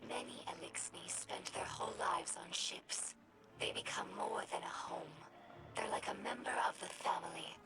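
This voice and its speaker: A woman voicing an earnest, gentle young female alien scribe in a video game, narrating lore in a measured, formal, reflective way with a breathy voice. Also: lowish voice, whispered, rough recording. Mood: fond, reflective. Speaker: scribe recording her house's history.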